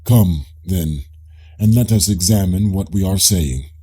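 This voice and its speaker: A man voicing a probing, politely ironic Greek philosopher in an audiobook dialogue, questioning in a measured way with a husky, dark voice. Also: sits low. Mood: patient, probing.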